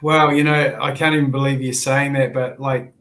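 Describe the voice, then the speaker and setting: A man with a New Zealand accent, a former sevens player chatting as a podcast guest in a relaxed, rambling way. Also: medium pitch, normal speed. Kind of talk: casual podcast chat.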